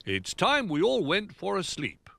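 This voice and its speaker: A man voicing a big elderly teddy bear in a children's story, deliberate and gently authoritative. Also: sits high, wildly swooping pitch, vocal fry, dry recording, voice pushed up, heavily acted. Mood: firm. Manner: slow, deliberate.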